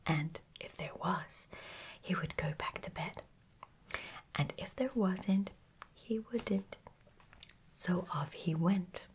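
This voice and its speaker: A woman reading a children's bedtime story in a hushed, gentle, unhurried ASMR whisper. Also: on the low side.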